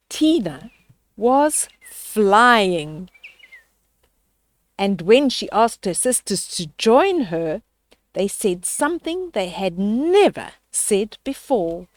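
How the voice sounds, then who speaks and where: A woman's voice: mid-range voice, sing-song, very slow, pauses often, no room sound. An older woman reading a children's story aloud, expressively, with explanatory asides and questions to young listeners.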